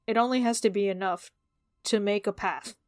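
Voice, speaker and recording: A woman's voice: mid-range voice, moderate pace, darkish tone, no room sound, studio quality. A woman playing a tabletop roleplaying game, chatting casually as she works out her character's moves.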